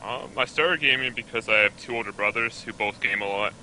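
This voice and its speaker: A man's voice: medium pitch, a little fast, bright, forceful tone, slight background noise, rough recording. A young man from Canada, a guest player, talking in a laid-back way with many fillers about how he got into gaming. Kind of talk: casual interview chat.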